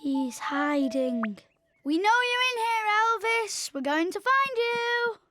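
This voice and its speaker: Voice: extremely high pitch, big pitch swings, takes it slowly, background noise, heavily acted. A performer voicing a confident little child superhero in a children's cartoon, bossy and teasing. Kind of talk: children's cartoon dub acting. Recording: loud music behind.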